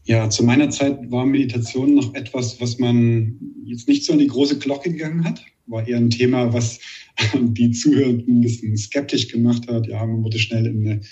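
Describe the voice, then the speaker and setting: Calm man with a Saxon accent. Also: mid-range voice, far from the mic, muffled recording. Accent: Saxon German. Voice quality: slight lisp. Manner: calm, measured, searching for words. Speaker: meditation teacher, guest. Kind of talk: thoughtful interview answers.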